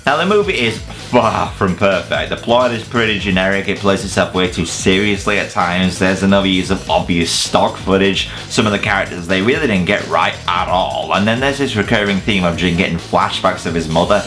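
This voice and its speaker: A man's voice: low-pitched, moderate pace, hardly any pauses, a bit bright, faint music behind. A man hosting a scripted countdown review, with casual swearing and mock-incredulous asides. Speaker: video reviewer and host.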